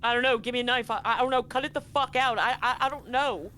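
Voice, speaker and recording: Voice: medium pitch, quick pace, sharp tone, voice pushed up, natural voice. A game master voicing a panicked, foul-mouthed woman soldier on the run, stammering and pleading over a noisy stream. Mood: frantic.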